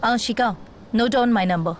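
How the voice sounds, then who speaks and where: A woman's voice: a little high, slow, slight background noise. An actress playing a stern, bossy female police investigating officer with a clipped, commanding manner that mixes in English phrases. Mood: stern, instructing. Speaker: actor in a dubbed film. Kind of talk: dramatic film dialogue. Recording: music behind the voice.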